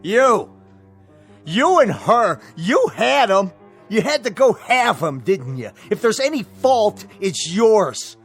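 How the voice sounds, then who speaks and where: Drunk bitter man, belligerent, sarcastic, slow rambling delivery, radio drama, music behind. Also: high-pitched, very animated, husky, heavily acted. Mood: raging, goading. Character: bitter drunken man, defiant and reckless on his birthday.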